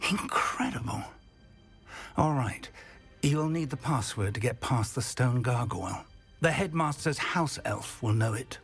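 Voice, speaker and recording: A man's voice: mid-range voice, lively intonation, measured pace, husky, faint music behind. A male actor playing a thoughtful, kindly older wizard professor in a video game, speaking. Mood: conspiratorial.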